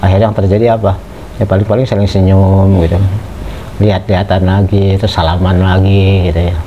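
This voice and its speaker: A middle-aged lecturer talks to his students in a low, easygoing voice, pausing on frequent fillers and turning to ask them questions. The recording sounds muffled, with a clear wash of background noise under him. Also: flat delivery. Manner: conversational, many hesitant fillers, asks students questions.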